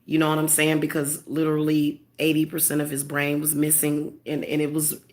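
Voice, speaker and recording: A woman speaking as a spiritual medium in a casual monologue, congested, halting with many fillers and restarts, earnest and tender. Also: low voice, monotone, a little mellow.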